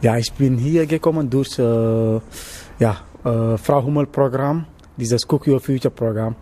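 A young man from Senegal with a Senegalese accent, answering casually in halting non-native German in a noisy location interview. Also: mid-range voice, warm tone. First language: not German, learned it as an adult. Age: young adult. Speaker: interviewee, trainee cook.

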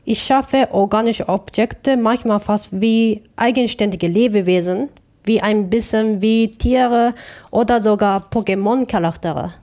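A woman from Japan with a Japanese accent, a native Japanese speaker, answering interview questions as an artist in a thoughtful, halting way with self-corrections. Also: medium pitch, darkish tone. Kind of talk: interview answers, reflective explanation.

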